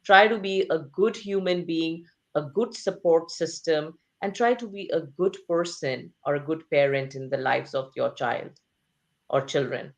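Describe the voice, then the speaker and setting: An earnest woman talks through her ideas in an interview, her voice sitting on the low side. She presses her points with repeated phrases and rhetorical questions and keeps a steady, engaged delivery. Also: measured pace. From Asia. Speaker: author and interview guest. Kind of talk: conversational interview.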